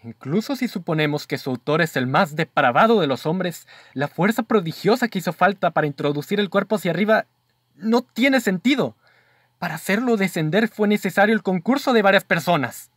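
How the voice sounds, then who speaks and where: A man's voice: sits high, animated, brisk, a bit bright, dry recording, clean studio sound. A man with a Mexican accent playing an earnest, easily astonished investigator in a radio drama, with formal, emphatic delivery. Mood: earnest reasoning. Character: earnest male investigator's companion, astonished, reasoning aloud. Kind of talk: radio drama acting, formal literary dialogue.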